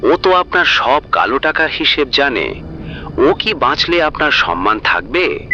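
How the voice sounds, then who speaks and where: A man acting a sharp, mocking walkie-talkie villain. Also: medium pitch, very animated, a little slow, highs cut off, exaggerated voice. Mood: goading. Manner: mocking, theatrical, goading. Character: taunting male mastermind villain speaking over walkie-talkie. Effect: walkie-talkie radio filter. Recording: band-limited walkie-talkie sound, faint music.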